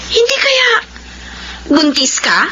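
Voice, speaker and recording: High-pitched blunt Filipina friend. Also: female voice, huge pitch swings, takes it slowly, exaggerated voice. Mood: prying, suspicious. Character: blunt, prying, scolding female friend. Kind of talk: radio drama acting. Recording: lo-fi radio drama, noisy background ambience.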